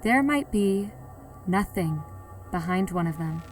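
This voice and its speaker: A woman playing a frightened young woman who recounts an eerie ordeal in a radio horror drama, hushed with dread and anxious. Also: fairly low pitch, lively intonation, slow pace, over music. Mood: haunted, ominous.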